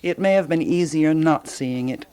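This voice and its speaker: A woman's voice: low voice, normal speed, warm tone, clear, resonant tone, natural voice. An actress playing a fussy, self-important, eccentric woman sleuth in a radio drama, theatrical and given to pondering aloud. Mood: ponderous, musing.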